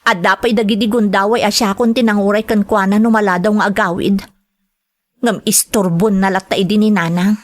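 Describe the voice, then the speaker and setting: Woman acting as young adult elder sister, medium pitch, resentful, hurt, serious, emotional radio drama delivery. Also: steady tone, fairly quick, close to an everyday voice. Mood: bitter, resentful.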